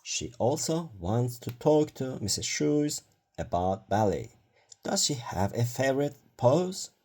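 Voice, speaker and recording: A man's voice: mid-range voice, sing-song, unhurried, darkish tone. A man reading a children's bedtime story aloud in a careful manner, stumbling now and then over words.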